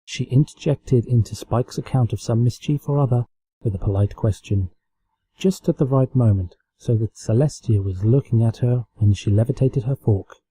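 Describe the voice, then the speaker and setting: A man's voice: mid-range voice, normal speed, dark tone, breathy edge, no room sound, poor audio. A man with a British accent narrating a written story aloud as an amateur reader. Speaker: amateur fan fiction reader.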